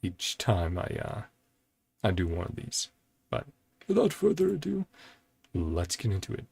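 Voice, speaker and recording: Gravelly, husky man giving chatty reaction commentary. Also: sits low, wildly swooping pitch, a little slow, a little mellow, dry recording. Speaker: host of a video reaction and ranking series.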